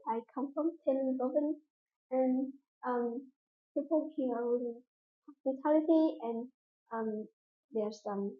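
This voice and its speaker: A teenage girl answering speaking-exam questions as a candidate, hesitant, with many pauses and fillers. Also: sits high. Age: teens. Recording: echoey, tinny phone-like sound.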